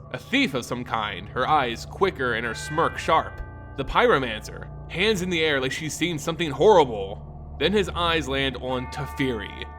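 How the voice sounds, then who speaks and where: A man hosting a lore channel, giving expressive, theatrical scripted fantasy narration and commentary, with music behind. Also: on the high side. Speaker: lore storyteller and channel host.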